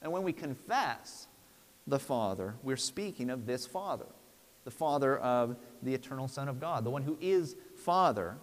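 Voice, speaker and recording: A man in his thirties preaching a sermon from a church pulpit in an earnest, emphatic, deliberate way. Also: medium pitch, animated. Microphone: lapel mic. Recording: long hall reverb.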